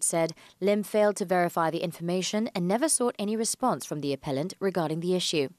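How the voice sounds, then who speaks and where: Brisk woman newsreader, dry sound. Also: lowish voice, a little mellow, no echo. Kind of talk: scripted news reading.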